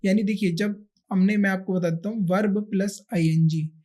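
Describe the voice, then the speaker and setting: A man's voice: high voice, fairly even intonation, normal speed, darkish tone. A man with an Indian accent teaching a grammar lesson, explaining step by step with repetition and rhetorical questions. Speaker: English grammar teacher for exam candidates. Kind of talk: explanatory lesson, switching between Hindi and English.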